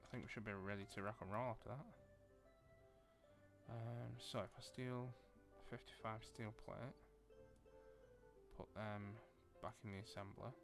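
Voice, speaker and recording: A low-voiced man with a Lancashire accent talks his way through a building game, half muttering as he works out his next step. Faint music and keyboard clicks sit behind him. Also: fairly even intonation, a little slow, pauses often. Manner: thinking aloud, muttering while building. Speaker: gaming video creator. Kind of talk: casual gameplay commentary.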